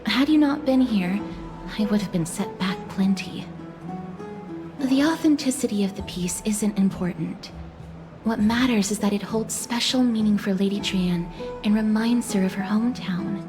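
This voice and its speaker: An actress playing a reserved, polite and gentle young noblewoman in a video game, speaking formally and softly. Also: mid-range voice, moderate pace, lightly acted. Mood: grateful, shy. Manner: formal, courteous, soft-spoken. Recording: music behind the voice.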